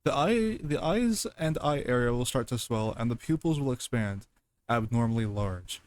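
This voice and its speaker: A man doing casual reaction commentary and reading aloud, halting as he goes and repeating himself over stumbles. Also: medium pitch, animated, a little mellow. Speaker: reaction video host.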